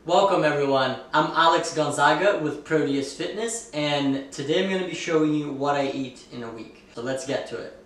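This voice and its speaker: A young man talking casually to camera at a kitchen table, relaxed and chatty with lots of fillers. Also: a little high, moderate pace, hardly any pauses, soft background music. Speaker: fitness vlogger and ballet dancer. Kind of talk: casual vlog talk to camera. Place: small room indoors, at a kitchen table. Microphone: camera mic at a distance. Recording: very echoey room, a bit far from the mic.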